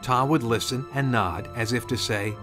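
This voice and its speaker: A man's voice: mid-range voice, normal speed, over music. A man narrating a scripted storybook tale as a storyteller.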